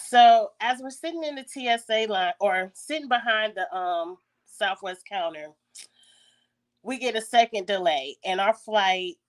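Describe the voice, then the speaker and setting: Congested raspy woman telling a story. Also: mid-range voice, a little slow. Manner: chatty, frequent throat clearing. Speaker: YouTube host. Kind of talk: casual story time. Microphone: phone.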